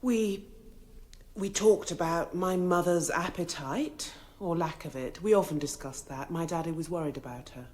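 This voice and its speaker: An actress playing an earnest, dutiful young daughter testifying in a radio drama, careful, polite and halting. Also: female voice, on the low side, darkish tone, natural voice. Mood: nervous, polite.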